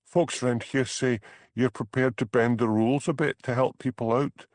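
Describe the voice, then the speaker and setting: A man's voice: fairly even intonation, moderate pace, a little mellow, no room sound, lightly acted. A man reading an audiobook, voicing an anxious young woman trapped in an unhappy marriage, with a plaintive, pleading manner. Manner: pleading, plaintive, high-pitched. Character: anxious young crofter's wife, trapped and tearful. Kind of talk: audiobook character voice.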